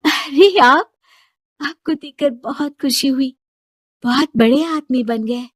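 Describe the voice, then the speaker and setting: Woman playing an emotional young daughter, high-pitched, earnest, protesting, cartoon moral story, dry sound. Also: takes it slowly, dry recording. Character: Shilpi, emotional, principled young rich man's daughter.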